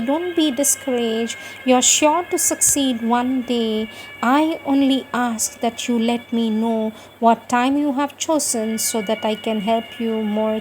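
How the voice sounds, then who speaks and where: A woman reads scripted devotional words in a fairly high voice at a slow pace. Her phrasing is solemn and deliberate. There is some faint noise behind her. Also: a little mellow, poor audio. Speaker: reader of devotional texts.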